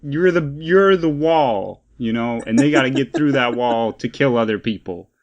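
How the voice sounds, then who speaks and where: A man's voice: medium pitch, normal speed, lo-fi sound. A man co-hosting a casual pop-culture podcast, talking in an opinionated, emphatic and rambling way. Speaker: podcast co-host.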